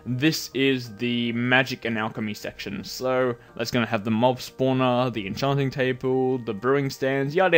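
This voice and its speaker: A man giving casual, rambling commentary over a video game while sounding nasal from a cold, with bursts of excited yelps. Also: mid-range voice. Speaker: gameplay commentator.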